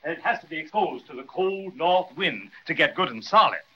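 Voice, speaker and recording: An actor playing an eager, obliging winter spirit in a hesitant, chatty way for a 1930s children's radio show. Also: male voice, on the high side, expressive, moderate pace, natural voice. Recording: dull 1930s radio transcription, faint noise.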